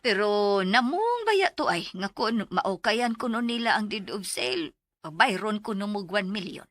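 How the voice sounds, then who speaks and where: Concerned Cebuano aunt. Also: female voice, medium pitch, lively intonation, a little slow, a bit bright, dry recording. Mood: worried. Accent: Cebuano Filipino. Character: concerned aunt relaying grim threats to her nephew. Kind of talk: Filipino Bisaya radio drama acting.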